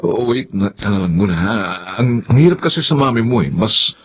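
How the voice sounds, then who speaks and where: A man's voice: medium pitch, big pitch swings, brisk, slightly rough, muffled recording. A man playing a stern, defensive father in a Filipino radio drama, emotional and controlling. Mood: explaining.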